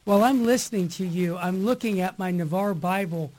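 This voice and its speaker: A man hosting a live religious radio talk show, with a loud voice that he mentions himself. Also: high voice, brisk. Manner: loud voice, reads scripture aloud. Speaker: radio host.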